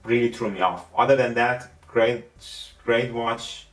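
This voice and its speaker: A man with a Persian accent, a non-native English speaker, giving a casual gadget review in a halting way that often repeats words and restarts sentences. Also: mid-range voice, steady tone. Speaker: tech reviewer. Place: room at a desk, indoors. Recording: roomy, distant mic with faint music.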